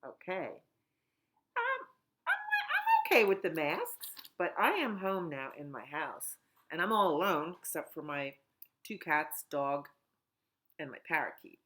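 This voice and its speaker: A middle-aged woman at home, a children's librarian talking gently and in a sing-song way during a storytime. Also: on the low side, wildly swooping pitch, slow pace, long pauses. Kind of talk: storytime reading and chat for children.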